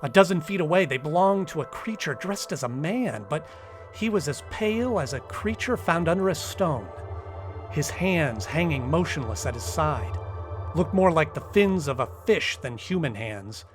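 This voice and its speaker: A man acting a nervous poet in a radio drama, narrating an eerie ordeal in a halting, stammering way. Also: fairly high pitch, expressive, close to an everyday voice. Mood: awed, uneasy. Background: music.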